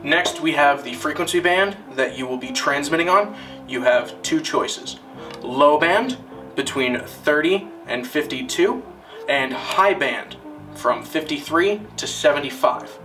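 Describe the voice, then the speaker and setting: A man narrating a scripted instructional film in a deliberate, formal, step-by-step manner. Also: fairly high pitch, lively intonation, sharp tone, far from the mic, faint music behind, low-quality recording.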